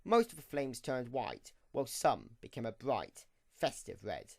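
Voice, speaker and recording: A man narrating a scripted story for an audio drama in an expressive storytelling manner. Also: medium pitch, unhurried, no echo, studio quality.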